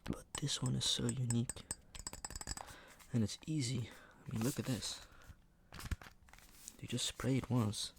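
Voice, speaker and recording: A man speaking in a hushed murmur that slips into whispering, with a sore throat, casually showing items for ASMR. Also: medium pitch, steady tone, measured pace, vocal fry, pauses often, background noise. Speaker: ASMR video creator. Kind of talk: ASMR, soft casual product show-and-tell.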